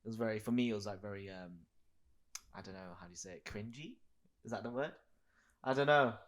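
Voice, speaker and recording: A man's voice: on the low side, quick pace, a bit bright. A man hosting a casual podcast chat, rambling and searching for words. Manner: rambling, many fillers and restarts, searches for words.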